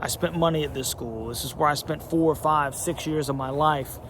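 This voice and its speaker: A man's voice: a little high, moderate pace, background noise. A man from North Carolina hosting a casual sports talk monologue, conversational and emphatic, with many fillers. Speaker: sports channel host.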